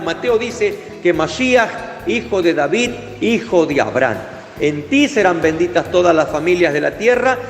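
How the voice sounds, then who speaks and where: A man preaching a fervent, emphatic sermon, with Hebrew religious terms in his speech. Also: high voice. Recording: echoey hall with faint music.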